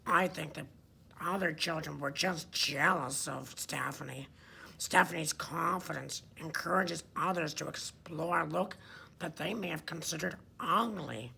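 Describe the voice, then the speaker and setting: Wacky scholarly kids' character, voiced by a woman: low, gravelly, earnest, faint noise behind. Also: measured pace, bright, forceful tone, full character voice.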